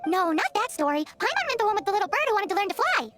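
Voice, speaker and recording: A woman voicing a small, childlike fairy companion in a video game, squeaky, chirpy and cheeky. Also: big pitch swings, very fast, loud music behind the voice. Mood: skeptical. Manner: refers to self in third person, exclamatory.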